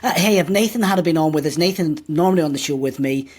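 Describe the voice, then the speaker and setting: A man's voice: on the high side, quick pace, a little mellow, breathy edge. Male podcast host: friendly interviewer, lots of encouraging replies. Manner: friendly, brief encouraging backchannels.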